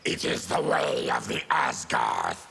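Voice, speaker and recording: An actor playing a fierce, proud, embittered mutant warrior in a fighting game, growling and deliberate. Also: male voice, extremely low pitch, expressive, sharp tone, slight background noise, heavily acted. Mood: grim, weary. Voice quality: gravelly, growling. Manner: menacing, deliberate. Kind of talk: fighting game character dialogue.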